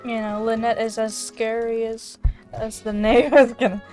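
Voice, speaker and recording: Giggly, excitable woman with a higher voice. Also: normal speed, faint music behind. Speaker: gaming streamer. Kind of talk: casual reaction commentary while playing.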